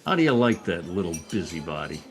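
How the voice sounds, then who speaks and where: A man's voice: sits low, very animated, a little fast. A man acting a wry, scheming newspaper reporter in an old-time radio comedy, with a theatrical delivery. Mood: conspiratorial.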